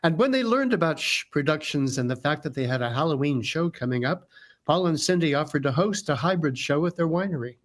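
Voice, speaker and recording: A man's voice: fairly high pitch, lively intonation, brisk. A man working as a show announcer, delivering a scripted radio sponsor message in a polished, promotional manner.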